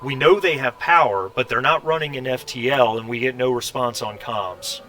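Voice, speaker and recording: A man speaking haltingly and deliberately as game master, narrating a tabletop role-playing game. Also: medium pitch, background noise.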